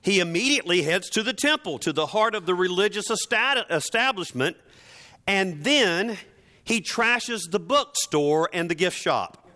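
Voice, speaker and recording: A man's voice: high-pitched, lively intonation, unhurried, pushed and bright. A man preaching a sermon in a conversational, wry manner, often posing rhetorical questions.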